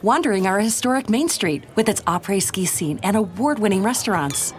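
A woman's voice: medium pitch, animated, a little fast, faint music behind. A woman giving a scripted tourism advertisement voice-over.

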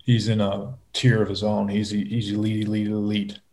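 Young male guest, mellow low-key delivery, laid-back and rambling. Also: normal speed. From Minnesota. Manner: relaxed, rambling, with many fillers. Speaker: guest, pro football player. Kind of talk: casual interview.

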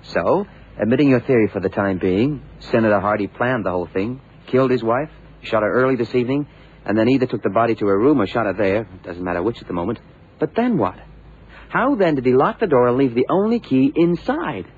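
A tinny, faintly noisy old radio recording of a sceptical male sidekick in a detective drama. His voice is mid-pitched and lively in its swings, with a colloquial, incredulous, plain-spoken delivery. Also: a little fast, husky. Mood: puzzled reasoning. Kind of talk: 1970s radio drama acting.

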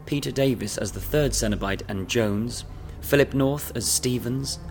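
A man reading the credits of a radio drama in a plain, even way. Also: mid-range voice, normal speed, a little hiss or hum. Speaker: credits announcer. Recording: loud music under voice.

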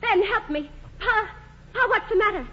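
A woman's voice: very high voice, animated, moderate pace, sharp tone, heavily acted. A woman playing a frantic, pleading grown daughter in a 1940s radio drama. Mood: alarmed, pleading. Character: frantic grown daughter pleading over her stricken father. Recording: muffled old radio broadcast.

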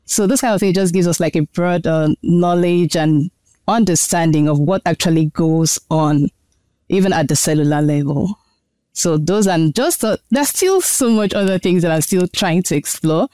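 Earnest woman researcher, smooth voice. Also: on the low side, moderate pace, dark tone, no echo. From Nigeria. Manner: earnest, explanatory, thoughtful. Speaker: guest researcher. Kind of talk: interview answers.

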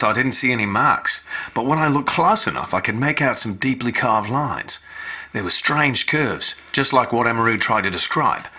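A blunt Australian mining engineer in a radio drama. His voice is sharp and forceful with a husky grain, and it swings widely in pitch as he talks in excitable, colloquial slang.

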